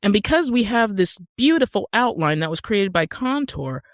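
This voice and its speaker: Podcast guest: American male, slightly high pitch, conversational explainer, very dry studio-like sound. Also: sing-song, moderate pace, no echo. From Utah, USA. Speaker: guest, actor and screenwriter.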